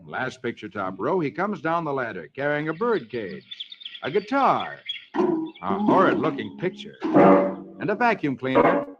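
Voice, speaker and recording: A man's voice: a little high, measured pace, over loud music. A man playing a jolly reader of newspaper funnies in 1940s radio style, theatrical and singsong, on an old muffled recording. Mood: jolly, lively. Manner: animated, singsong, exaggerated announcing. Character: jolly Comic Weekly Man reading funnies to a child. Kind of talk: 1940s radio storytelling, theatrical scripted narration.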